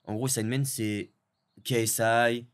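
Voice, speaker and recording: A young man from French Guiana, a video editor, chatting in a relaxed way with lots of fillers and restarts. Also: mid-range voice, a little slow. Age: young adult. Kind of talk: casual interview chat.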